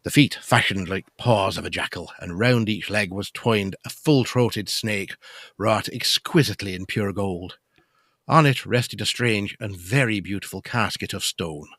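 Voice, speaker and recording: Low-voiced male gothic narrator. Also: measured pace, a bit bright, no room sound, clean studio sound. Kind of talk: scripted narration of a gothic audio drama.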